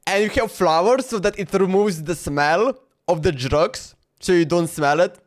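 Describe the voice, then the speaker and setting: A man's voice: high voice, normal speed. A man giving casual, slangy, rambling live reactions as a game streamer. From Slovenia. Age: 20s. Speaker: game livestreamer.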